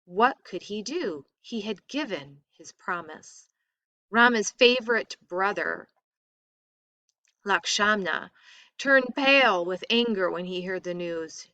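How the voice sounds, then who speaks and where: A woman reading a story aloud expressively, with deliberate, dramatic emphasis. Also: mid-range voice, expressive, sharp tone, no room sound, lo-fi sound.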